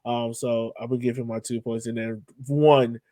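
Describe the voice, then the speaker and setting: A man's voice: mid-range voice, flat delivery, normal speed, warm tone, dry recording. Man chatting casually, dry close sound. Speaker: soccer fan podcast co-host. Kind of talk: casual game-analysis chat.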